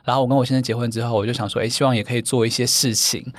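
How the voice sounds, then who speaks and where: Man, podcast guest, brisk pace, gentle earnest manner, trailing fillers. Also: mid-range voice, fairly even intonation. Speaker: guest, dating event founder. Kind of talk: casual interview chat.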